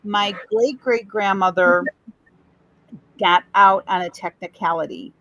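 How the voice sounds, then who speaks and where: A woman's voice: mid-range voice, measured pace, a bit bright, highs cut off. A woman from Chicago, a podcast guest, telling a family story in a rambling way that trails off and circles back. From Chicago, USA. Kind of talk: casual storytelling conversation.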